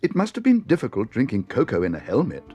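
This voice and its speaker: A man's voice: mid-range voice, wildly swooping pitch, fast, warm tone, husky, soft background music. A man voicing a polite, earnest young bear who takes everything literally, in a storybook reading. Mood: musing.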